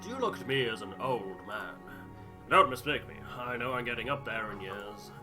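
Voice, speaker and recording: A man's voice: mid-range voice, lively intonation, moderate pace, over music, close to an everyday voice. A man voicing an ageing crewman in a game, a good-humoured father who speaks frankly and wryly about himself. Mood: wry, earnest. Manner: frank, wry, self-deprecating. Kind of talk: voiced game dialogue.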